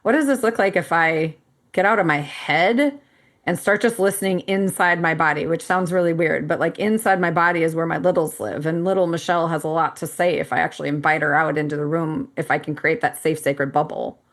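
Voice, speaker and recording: A woman's voice: sits low, steady tone, fairly quick. A woman in her fifties, a podcast co-host, talking candidly and animatedly with frequent laughs and casual swearing. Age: 50s. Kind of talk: casual conversation.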